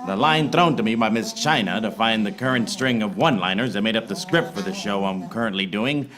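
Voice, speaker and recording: An actor playing a wry, hard-boiled private eye who narrates a parody noir radio serial in deadpan, recorded live on stage. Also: male voice, lowish voice, fairly quick, crowd noise, natural voice. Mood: deadpan world-weary. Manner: deadpan pun-laden monologue. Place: small theater stage before live audience.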